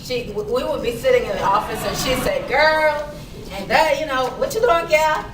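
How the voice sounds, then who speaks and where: Woman from the bahamas giving a chatty talk, fairly high voice, sounds far off from the mic in an echoey room. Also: moderate pace, a crowd in the background. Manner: chatty, laughs often, answers audience questions. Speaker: presenter on public speaking. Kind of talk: informal talk to a small group. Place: library meeting room. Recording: echoey room, speaker far from mic, clear background noise.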